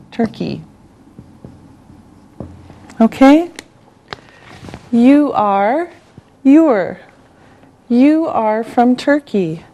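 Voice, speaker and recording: Woman, English teacher, slightly high voice, very slow and deliberate delivery, patient and encouraging. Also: warm tone, dull audio, slight background noise. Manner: very slow and deliberate, one word at a time, with repetition. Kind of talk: scripted language lesson for beginners.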